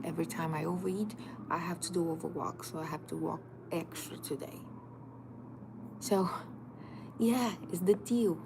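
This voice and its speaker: A woman's voice: fairly low pitch, vocal fry. Woman, emphatic life coach. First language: Portuguese. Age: 30s. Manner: emphatic, direct, repetitive second-person urging. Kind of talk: motivational livestream talk. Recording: noisy background.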